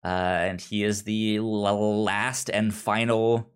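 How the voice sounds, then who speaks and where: A podcast co-host chatting casually about a show, haltingly, often repeating starts while searching for words. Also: mid-range voice, steady tone, clear, resonant tone. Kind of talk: casual chat recapping a TV show.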